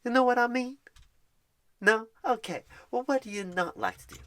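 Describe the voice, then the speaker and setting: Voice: mid-range voice, expressive, normal speed, no echo, clean studio sound. Folksy sweet ASMR job recruiter. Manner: folksy, sweet, calls the listener darling and honey; drops to soft whispers at times. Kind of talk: ASMR roleplay phone screen. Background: keyboard typing.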